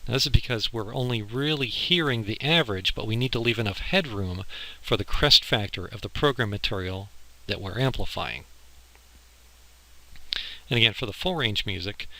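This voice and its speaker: Low-pitched man lecturing, bright edgy sound. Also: normal speed, rough recording. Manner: explanatory, informal, step by step. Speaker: instructor. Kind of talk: slide lecture on audio engineering. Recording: harsh amateur recording with clicking.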